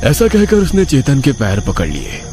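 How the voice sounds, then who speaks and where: A man's voice: mid-range voice, huge pitch swings, normal speed, music in the background. A man reading a scripted horror story as its narrator.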